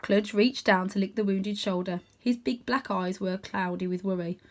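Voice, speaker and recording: A woman reading a children's story aloud in an expressive narrating style. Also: medium pitch, moderate pace, slight background noise. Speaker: storybook reader.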